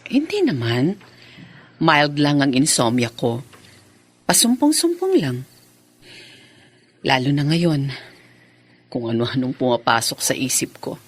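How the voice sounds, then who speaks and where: A woman's voice: a bass voice, wildly swooping pitch, slow, long pauses, breathy edge, full character voice. An actress playing a troubled new wife and stepmother in a Filipino radio drama. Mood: uneasy.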